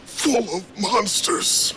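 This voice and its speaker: An actor playing a weary, grieving father and war survivor in a video game cutscene, with a low, gruff delivery. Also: very slow, pushed and bright, a little hiss or hum, exaggerated voice.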